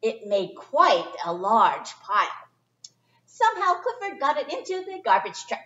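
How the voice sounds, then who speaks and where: High-pitched, expressive woman reading to children. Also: a little slow. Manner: expressive, chatty, simple phrasing for young listeners. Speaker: storyteller reading a picture book to children. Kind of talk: children's read-aloud with informal asides. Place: indoor library children's area. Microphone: distant camera microphone. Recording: echoey room, distant muffled camera sound.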